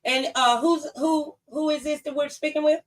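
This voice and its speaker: A woman's voice: high voice, steady tone, normal speed. Woman hosting, a bit far from mic. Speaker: talk show host. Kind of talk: conversational live call-in show hosting. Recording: distant from the microphone.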